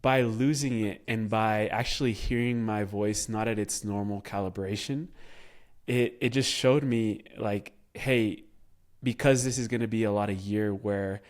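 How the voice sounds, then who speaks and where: Hoarse low-voiced male podcast host. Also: steady tone, a little slow, a little mellow. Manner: reflective, many fillers and restarts. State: hoarse, voice recovering. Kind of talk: casual interview talk.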